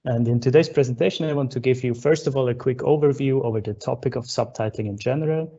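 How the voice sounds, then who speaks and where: A man's voice: mid-range voice, quick pace, mellow. A young Swiss man giving a friendly conference keynote over a video call, with plenty of uh fillers. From Switzerland. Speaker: keynote speaker. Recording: dull lo-fi online call audio.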